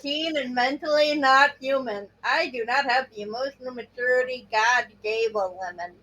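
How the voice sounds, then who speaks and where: A woman with a high, sharp, bright voice. She makes wry, casual asides as a player in a tabletop game. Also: moderate pace, low-quality recording.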